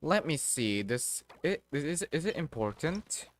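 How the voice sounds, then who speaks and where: A man live-streaming a game, thinking aloud in a casual, halting way with some mild swearing. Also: fairly high pitch, big pitch swings.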